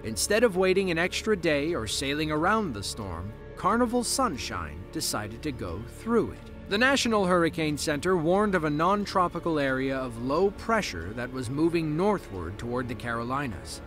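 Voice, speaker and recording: A man narrating a scripted documentary in a lively storytelling way with dry asides. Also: fairly high pitch, normal speed, few pauses. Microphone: voice-over, studio mic. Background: soft background music.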